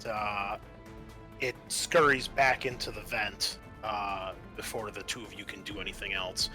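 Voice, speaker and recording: A man narrating a tabletop roleplaying game as game master, speaking haltingly with pauses mid-sentence. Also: on the low side, sharp tone. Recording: music behind the voice. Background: background music.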